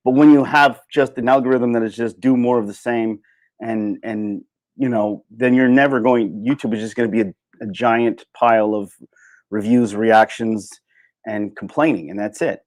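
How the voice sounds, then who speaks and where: A man's voice: mid-range voice, fairly even intonation, normal speed, a little mellow, no echo. A man speaks in an unscripted, conversational livestream style. He rambles through his points and repeats phrases to drive them home. The sound is dry, with very little room in it. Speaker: livestream host. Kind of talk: casual unscripted livestream rant, reading and answering chat.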